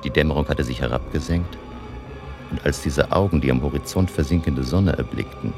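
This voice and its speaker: An actor playing a grave older vampire hunter who narrates a radio drama in a solemn, intense, deliberate, gravelly way. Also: male voice, extremely low pitch. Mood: solemn narrating. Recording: faint music behind the voice.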